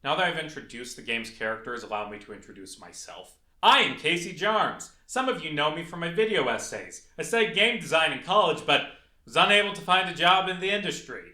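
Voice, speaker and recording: A man's voice: fairly high pitch, expressive, fairly quick, bright, forceful tone. A young adult man narrating a scripted video essay as a game developer. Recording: echoey, reverberant room.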